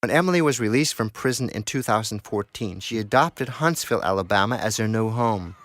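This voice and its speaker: A man's voice: lowish voice, lively intonation, a little fast, a bit bright, dry recording. A man narrating a radio documentary from a script.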